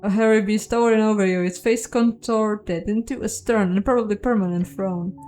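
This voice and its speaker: A woman voicing a solemn narrator of a fantasy game, telling of creatures and dangers in a deliberate, dramatic way. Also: medium pitch, fairly even intonation, a little mellow, a little hiss or hum, close to an everyday voice. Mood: stern.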